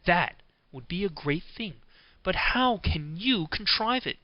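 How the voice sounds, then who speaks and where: A man's voice: sits high, lively intonation, slow, dry recording, muffled recording. A man voicing a naive, good-natured young man in a storybook, simple and plain-spoken. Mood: wondering.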